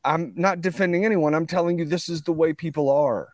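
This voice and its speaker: A man in a heated conversation, speaking earnestly and defensively, often halting and repeating himself as he makes his point. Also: fairly high pitch, no room sound, studio quality. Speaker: podcast guest. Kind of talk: heated conversational storytelling and debate.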